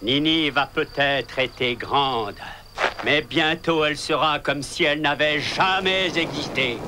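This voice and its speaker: An actor playing an indignant prophet who proclaims doom in a declamatory way, in a dubbed animated Bible cartoon. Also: male voice, on the high side, a little slow, a little hiss or hum. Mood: grim, menacing. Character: wrathful, indignant prophet preaching doom to a sinful city. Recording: loud music under the voice.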